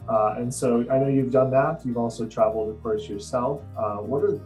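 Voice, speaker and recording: A young man interviewing a guest over a video call from his office, asking questions in a hesitant, halting way with many fillers. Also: mid-range voice, steady tone, a little mellow, lo-fi sound, faint music behind. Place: office with window blinds. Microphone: video call webcam.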